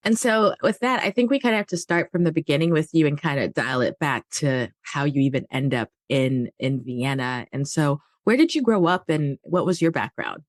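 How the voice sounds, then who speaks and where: A woman's voice: sits low, lively intonation, normal speed, few pauses, no echo, clean studio sound. A woman hosting a podcast, upbeat and friendly as she brings in her guest. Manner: upbeat, friendly, asks questions. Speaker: podcast host. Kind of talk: casual interview chat.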